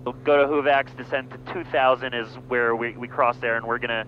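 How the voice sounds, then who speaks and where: A man's voice: mid-range voice, fairly even intonation, fairly quick. A man riding along as a fellow pilot in a small plane, chatting casually through a headset. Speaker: passenger pilot filming the flight. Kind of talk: casual cockpit chat. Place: small airplane cockpit in flight. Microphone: aviation headset. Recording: muffled headset audio, faint engine noise. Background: engine hum and radio.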